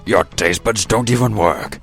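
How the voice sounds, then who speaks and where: A man playing a grumpy, indignant old village leader with a gravelly, blustering delivery in a comic radio play. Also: fairly low pitch, a little slow, a bit bright, faint music behind. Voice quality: gravelly, creaky. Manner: pompous, blustering.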